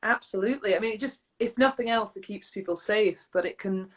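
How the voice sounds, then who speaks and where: A woman's voice: medium pitch, fairly quick, husky. A woman hosting a podcast interview, chatting in a friendly, agreeable way and laughing often. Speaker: podcast host, vocal coach. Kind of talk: casual interview chat.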